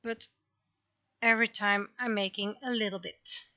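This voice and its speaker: A woman's voice: mid-range voice, fairly even intonation, normal speed, pushed and bright, dry recording, muffled recording. A woman giving casual livestream commentary as she shows her own creative work. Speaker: livestreamer, webcomic and visual novel creator.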